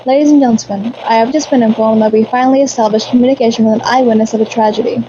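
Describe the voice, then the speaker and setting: Woman acting an urgent high-voiced radio reporter. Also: warm tone, highs cut off, close to an everyday voice. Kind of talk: radio drama newscast acting. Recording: faint background noise.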